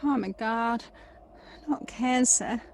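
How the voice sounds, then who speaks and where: A woman's voice: fairly high pitch, slow, gravelly, a little hiss or hum. A woman playing an anxious, needy, emotional sister in an audio play. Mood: worried.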